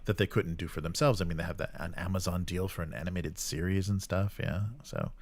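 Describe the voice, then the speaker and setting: A man's voice: sits low, a little fast, creaky. A man hosting a casual live stream, rambling and thinking aloud as he reacts to chat comments.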